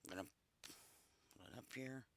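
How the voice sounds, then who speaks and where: A woman hosting a casual livestream, congested and a bit raspy from a cold, chatting to her viewers like friends. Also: very deep voice, normal speed. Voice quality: raspier than usual. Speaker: livestream host. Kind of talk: casual reaction livestream chat.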